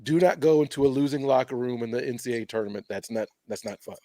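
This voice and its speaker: A man's voice: medium pitch, fast talker, mellow, no room sound, studio quality. A man in his forties from Louisville with a Kentucky accent, co-hosting and joking animatedly with his guests. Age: 40s. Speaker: co-host. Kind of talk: casual chat.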